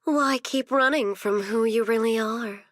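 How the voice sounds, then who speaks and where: An actress playing a sinister, seductive game villainess, a coolly manipulative emissary with a deliberate, taunting delivery. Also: female voice, a little high, no echo, studio quality, close to an everyday voice. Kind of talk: dramatic video game voice acting.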